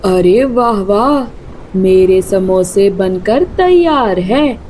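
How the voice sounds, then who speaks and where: A greedy cartoon vulture, acted out by a woman, bullies and demands in a menacing manner. The delivery is unhurried and the tone mellow, over faint background noise. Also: medium pitch, lively intonation, pitched below the performer's own voice. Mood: greedy, gloating. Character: greedy bullying vulture demanding samosas, threatening.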